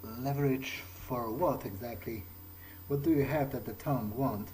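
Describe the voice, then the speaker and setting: A man's voice: medium pitch, unhurried, darkish tone, slight background noise. A man improvising in character as he plays a video game, speaking in a hesitant, musing, understated way. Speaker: let's player.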